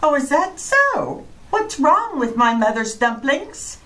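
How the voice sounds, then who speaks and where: A woman playing an easily indignant housewife with a deliberate delivery in an old-time radio comedy. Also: high voice, sing-song, bright, forceful tone, far from the mic, full character voice. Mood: huffy. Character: huffy housewife and mother.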